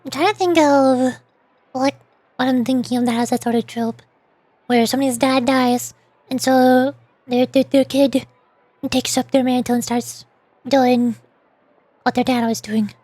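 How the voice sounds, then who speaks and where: High-voiced woman streamer chatting casually. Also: fairly even intonation, unhurried, long pauses, a little mellow, no room sound. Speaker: streamer and voice actor. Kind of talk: casual livestream chat.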